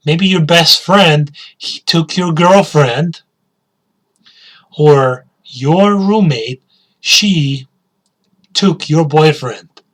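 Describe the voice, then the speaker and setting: Male teacher, slightly high voice, unhurried delivery, repeats questions and key words to students. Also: a bit bright. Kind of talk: slow spoken English lesson.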